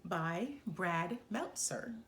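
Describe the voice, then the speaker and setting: A woman reading a children's book aloud in an expressive storytelling style, in short, deliberate phrases with pauses for emphasis. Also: on the low side. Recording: roomy, echoing room sound.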